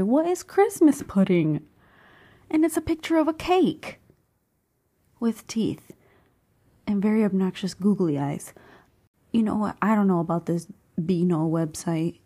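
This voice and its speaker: A woman vlogging in a hushed half-whisper, slightly hoarse, reacting to quiz questions with bursts of excited disbelief. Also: medium pitch. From Cuban family background. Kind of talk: casual chat, reading quiz questions aloud.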